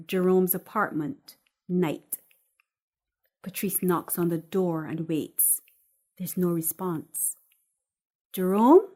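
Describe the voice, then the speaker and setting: A woman reading a screenplay as dramatic scripted narration, expressive and deliberate in her storytelling. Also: fairly low pitch. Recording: music under the voice. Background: music.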